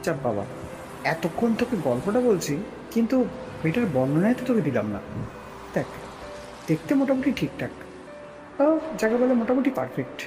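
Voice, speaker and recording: A man's voice: high-pitched, big pitch swings, fairly quick, long pauses. A man acting a polite, easygoing college student who tells his own love story in an audio drama, mixing in English phrases. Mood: playful. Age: young adult. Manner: casual, mixes English phrases into speech. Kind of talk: Bengali audio story acting and first-person narration. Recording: music under the voice.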